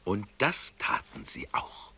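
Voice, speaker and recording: A man's voice: deep voice, huge pitch swings, takes it slowly, sharp tone, husky. A man narrating a scripted children's story.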